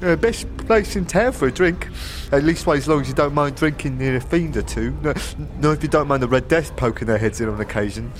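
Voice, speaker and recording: A man's voice: mid-range voice, expressive, a little fast, soft background music, close to an everyday voice. A man playing a scruffy drunken tavern regular in a fantasy audio drama, rambling and jittery with slurred, stammering, repetitive speech. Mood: tipsy, eager. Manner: slurred, stammering, repeats words.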